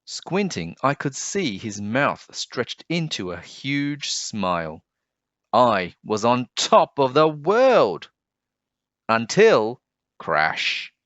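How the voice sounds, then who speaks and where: Guy reading to kids, really slow n deliberate, lowish voice, muffled roomy sound. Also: very animated, fairly bright tone. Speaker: storybook reader, host. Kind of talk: read-aloud storytelling for children. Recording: dull, roomy home recording.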